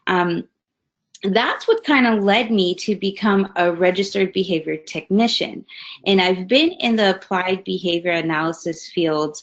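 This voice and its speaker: A woman's voice: fairly low pitch, measured pace. A woman in her thirties from Hawaii giving a friendly, conversational webinar talk as guest presenter, with plenty of fillers. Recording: slightly dull webinar audio.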